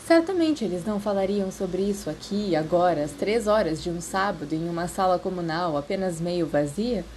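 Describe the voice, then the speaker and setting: A woman's voice: fairly low pitch, normal speed, a little mellow, slight background noise. A woman with a Brazilian accent reading scripted fiction as an audiobook narrator.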